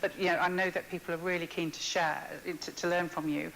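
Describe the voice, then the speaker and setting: A woman's voice: fairly low pitch, level tone, a little fast, creaky, fairly bright tone, slight background noise, rough recording. A woman moderating a panel who poses a long, encouraging question to a speaker.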